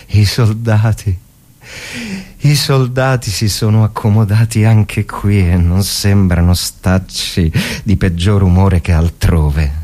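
A worn-out, haunted army officer acted by a man broods through a dramatic war monologue. His voice sits fairly low and moves very slowly, halting and circling back on phrases, swinging between murmurs and outbursts. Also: warm tone, breathy edge, exaggerated voice. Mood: grim, reflective. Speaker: actor reading a war story. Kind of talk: dramatic theatrical monologue from literary fiction.

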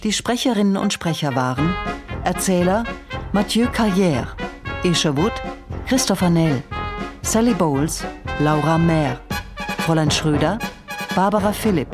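A low-voiced woman reads radio drama credits over brass music. Her delivery is very slow, measured and neutral, like a scripted announcement. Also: lively intonation.

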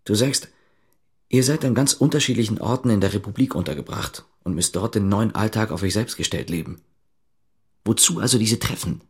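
Wary male questioner, low husky voice, terse, probing, controlled, naturalistic radio play. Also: lively intonation, brisk. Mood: pressing. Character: suspicious, probing, methodical man interrogating a visitor. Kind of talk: naturalistic radio drama acting.

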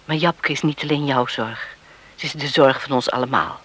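An actor playing an understanding, reassuring family friend in a radio drama. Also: male voice, medium pitch, normal speed, a bit bright, husky. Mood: gently reassuring.

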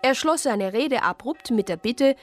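A woman's voice: fairly high pitch, animated, measured pace, soft background music. An actress playing a reflective woman who narrates a radio drama soberly in the first person. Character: reflective woman narrator recalling her journey.

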